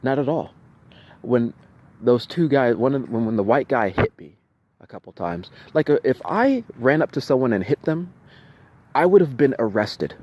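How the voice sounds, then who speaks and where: A man venting in an unscripted video monologue, halting and repeating himself, with insistent emphasis. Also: medium pitch, expressive, darkish tone. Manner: halting, repetitive, with restarted phrases. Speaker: vlogger recounting personal experiences.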